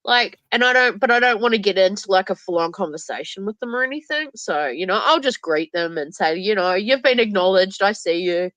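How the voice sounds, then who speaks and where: A woman's voice: mid-range voice, expressive, brisk, few pauses, fairly bright tone, dry recording, highs cut off. A woman hosting a casual livestream chat with a stuffy nose, joking and laughing easily. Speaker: livestream host.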